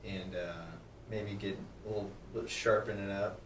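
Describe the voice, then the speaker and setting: A man's voice: lowish voice, little pitch movement, normal speed, fairly bright tone, reverberant, recorded from a distance, a little hiss or hum. A man, a podcast guest who talks in an easygoing way and laughs often. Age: 30s. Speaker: podcast guest, sales manager. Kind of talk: casual chat.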